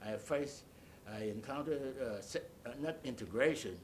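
Older male interviewee, halting storyteller, mid-pitched voice, noticeable rumbling noise on the recording. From Bahamas. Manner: storytelling, halting, searching for words. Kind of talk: oral history reminiscence. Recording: clearly noisy, low rumble behind.

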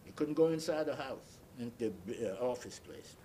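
Elderly man, mid-pitched voice, halting reminiscence, explains as he goes, faint rumbling noise. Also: dark tone. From Bahamas. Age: 80s or older. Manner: deliberate, halting storyteller, repeats and explains. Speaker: interviewee. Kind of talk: oral history recollection.

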